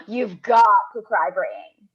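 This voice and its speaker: Actress as breezy, affected young scenester, high and slangy, comic read, muffled lo-fi recording. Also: female voice, a little hiss or hum, heavily acted. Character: breezy, spacey, affected young party woman. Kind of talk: screenplay table read, comic dialogue. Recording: dull, lo-fi microphone sound.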